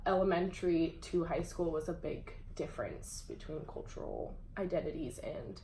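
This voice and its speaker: A young adult woman speaking casually and hesitantly, pausing often with "um". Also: sits low, dark tone. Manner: hesitant, many "um" pauses, laughs easily. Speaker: interviewer. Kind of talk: casual conversation. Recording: echoey room with faint background hum.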